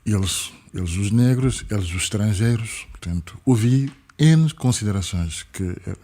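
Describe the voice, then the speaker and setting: A man from Senegal speaks with a French-tinged accent and a mellow, warm tone. He talks earnestly as an interview guest, repeating words to drive a point home. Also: fairly low pitch, lively intonation, moderate pace. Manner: emphatic, repeats words for stress. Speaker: guest, activist. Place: podcast studio.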